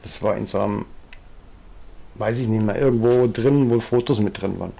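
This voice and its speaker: A man in his fifties with a Bavarian accent, telling his story in a rambling, chatty way in a living room, often trailing off with fillers, with a low-quality home recording. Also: normal speed, gravelly, mellow, muffled recording. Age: 50s. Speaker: vlogger. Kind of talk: casual storytelling monologue, reading aloud. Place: living room, seated in armchair.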